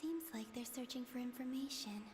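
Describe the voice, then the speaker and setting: An actress voicing a gentle, lonely young woman in a video game, speaking softly and pensively. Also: sits high, moderate pace, close to an everyday voice. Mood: worried, musing. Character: gentle, wistful young woman with fading powers, lonely and questioning. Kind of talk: scripted video game voice acting. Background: game music.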